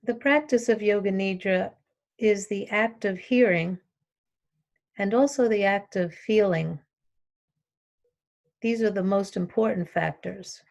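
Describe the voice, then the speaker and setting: A woman teaching yoga nidra, guiding a relaxation meditation in calm, short instructional phrases with long pauses between them. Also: mid-range voice, vocal fry.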